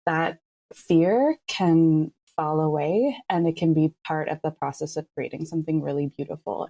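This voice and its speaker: Woman, low and soft-edged voice. Earnest, playful, reflective podcast conversation. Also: breathy edge. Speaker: podcast co-creator, writer and voice actor. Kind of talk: casual reflective discussion.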